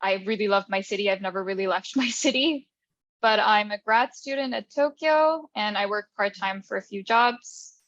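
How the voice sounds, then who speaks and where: A woman's voice: medium pitch, normal speed, a bit bright, no room sound. A young woman from Japan, a native Japanese speaker, talks in English with an American accent. Her manner is friendly and easygoing, and she laughs often. The recording sounds slightly dull and dry. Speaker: guest, grad student. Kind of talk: casual conversation.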